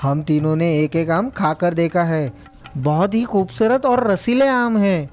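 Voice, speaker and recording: A man's voice: high voice, measured pace, darkish tone, soft background music. A man playing a naive, respectful cart driver in a moral story, speaking earnestly and deferentially. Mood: respectful, puzzled. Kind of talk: acted moral story dialogue.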